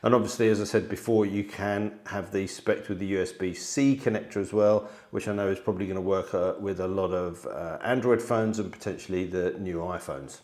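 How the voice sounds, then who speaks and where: Man, forties, lowish pitch, conversational product explainer, studio recording, close mic. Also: brisk, few pauses, a little mellow. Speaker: product presenter. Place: indoor studio, seated at a table.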